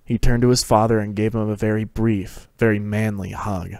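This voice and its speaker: A man's voice: fairly low pitch, steady tone, normal speed, a little mellow, no echo, studio quality. A man giving a scripted dramatic reading of a fantasy story as narrator. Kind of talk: dramatic reading of fan fiction.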